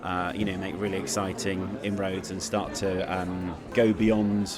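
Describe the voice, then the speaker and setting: A man's voice: low voice, level tone, a little slow, over music. A man giving casual interview answers, hesitating and repeating words as he searches for them. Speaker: event organiser and performer.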